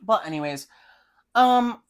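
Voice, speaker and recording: A woman's voice: medium pitch, animated, slow. A young woman co-hosting a podcast, chatting casually about books in a blunt, slangy, profane way. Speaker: podcast co-host. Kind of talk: casual book-review chat.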